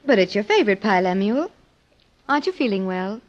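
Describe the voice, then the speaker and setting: An actress playing an earnest, grieving young wife in old-time radio drama style, speaking haltingly with emotion. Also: female voice, mid-range voice, lively intonation, natural voice. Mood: concerned. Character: grieving young wife of a wealthy older man. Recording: dull, lo-fi old radio recording.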